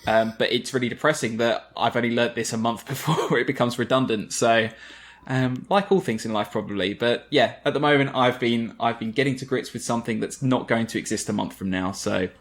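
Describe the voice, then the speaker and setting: A man's voice: medium pitch, brisk. A man co-hosting a casual gaming chat with a wry, understated way of talking. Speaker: podcast co-host.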